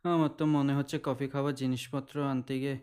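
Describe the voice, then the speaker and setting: A man's voice: medium pitch, level tone, brisk, darkish tone, clear, resonant tone, close to an everyday voice. An actor playing a sleepy, wry young man in an audio drama, lazily teasing. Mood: drowsy, amused.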